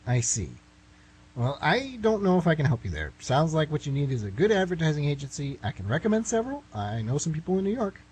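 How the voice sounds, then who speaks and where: A man's voice: on the high side, big pitch swings, quick pace, darkish tone. A man playing a nervous, reluctant, wry writer in a comic radio play, with a hesitant, protesting manner. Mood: skeptical. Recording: amateur recording, noisy with hum.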